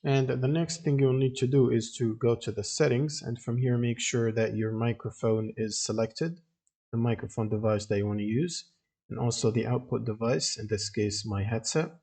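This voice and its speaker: Man with a muted, husky voice. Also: medium pitch, steady tone, moderate pace, warm tone. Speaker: tutorial narrator. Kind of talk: step-by-step software tutorial voice-over.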